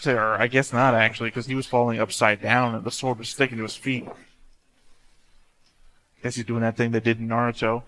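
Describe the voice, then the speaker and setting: Guy doing casual reaction commentary, mid pitched voice, blunt, swears a bit. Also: flat delivery, a little fast, pauses often, a bit bright. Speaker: reaction video host. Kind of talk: casual off-the-cuff commentary while watching.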